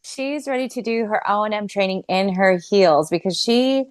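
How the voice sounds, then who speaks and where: A woman as an interview guest, talking casually in a halting, playful way about her work. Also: mid-range voice, clean tone, dry recording. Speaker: guest, stage play actor.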